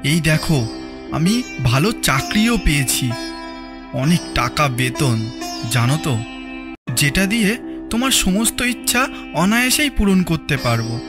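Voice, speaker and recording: A man's voice: on the high side, measured pace, pauses often. A man playing an eager, lovelorn young man in a cartoon drama, earnest, pleading and emotional. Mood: eager, proud. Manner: pleading, excitable, emotional delivery. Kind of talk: dramatic audio story acting. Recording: music under the voice.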